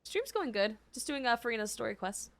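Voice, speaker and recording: A woman's voice: on the high side, sing-song, a little fast, a bit bright. A woman streamer giving casual live reactions while playing a video game.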